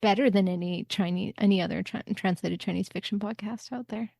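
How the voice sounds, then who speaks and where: A woman's voice: mid-range voice, fairly even intonation, a little fast, creaky, a little mellow, dry recording, clean studio sound. A woman taking part as a podcast guest, speaking casually in an encouraging, complimentary way. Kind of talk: casual chat.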